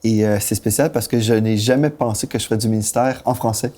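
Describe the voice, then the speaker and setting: Upbeat pastor host with a mellow voice. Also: male voice, lowish voice, fairly even intonation, a little fast, faint music behind. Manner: enthusiastic, frequent praise interjections. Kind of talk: studio interview. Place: TV studio.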